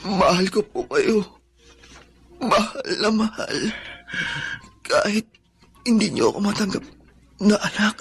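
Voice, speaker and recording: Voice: mid-range voice, expressive, takes it slowly, gravelly, long pauses, fairly bright tone, slightly rough, exaggerated voice. A performer in a Filipino radio drama playing a devoted, selfless young child, pleading and tender, with a Filipino accent. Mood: weak, loving.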